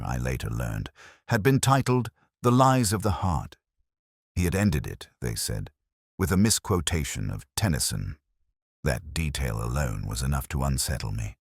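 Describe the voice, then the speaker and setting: A man reading a first-person literary detective mystery in a measured, reflective, melancholy way. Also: very deep voice, breathy edge, dry recording, clean studio sound.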